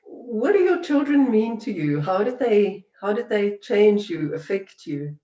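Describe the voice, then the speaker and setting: Soft hesitant woman interviewer, roomy sound. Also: medium pitch, big pitch swings, unhurried. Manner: hesitant, soft, many fillers. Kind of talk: gentle interview questions. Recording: dull, roomy and distant.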